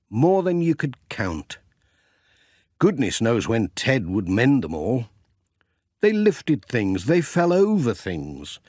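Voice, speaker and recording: A man narrating a children's storybook in a measured, expressive storytelling manner. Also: mid-range voice, dry recording, studio quality. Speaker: audiobook narrator.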